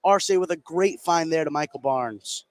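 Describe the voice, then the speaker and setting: A male color commentator with a slightly high voice offers live basketball analysis. He is conversational and explanatory, talking through tactics and players. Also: normal speed.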